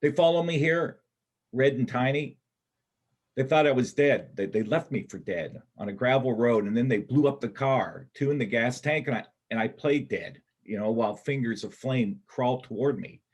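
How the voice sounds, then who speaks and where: A man in a readers' theater play acting a wounded, rattled bank robber on the run, with a halting, stammering, rambling delivery. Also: medium pitch, husky, close to an everyday voice. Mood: anxious, urgent.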